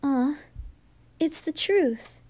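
Kind young anime boy, female performer: high voice, slow soft delivery, reassuring and sincere. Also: animated, heavily acted. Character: gentle, kind-hearted, fearless young boy adventurer. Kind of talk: anime fan roleplay ASMR.

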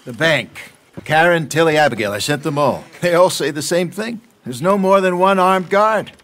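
An actor playing a shrewd, eloquent older outlaw schemer in a video game, articulate and emphatic. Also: male voice, fairly high pitch, expressive, moderate pace, a bit bright, slight background noise. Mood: brisk, assured. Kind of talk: dramatic video game acting.

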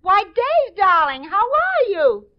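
A woman's voice: very high-pitched, big pitch swings, slow. A woman taking part as a contestant on a live 1940s radio show, speaking into a stage microphone. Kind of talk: brief replies and repeated prompts on a live radio show. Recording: dull 1940s radio recording.

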